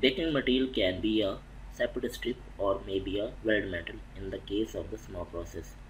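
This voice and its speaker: Low-voiced man giving a methodical lecture. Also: slight background noise, poor audio. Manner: methodical, halting, restarts mid-phrase. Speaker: welding inspection instructor. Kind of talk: slide-based technical lecture. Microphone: voice-over on slides.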